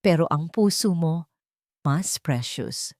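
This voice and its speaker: A devoted man in love, voiced by a woman narrator, speaks with a Filipino accent in a low, dry voice. He is gentle and earnest, as in a romantic audio drama. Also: expressive, slow pace, no echo. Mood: tender.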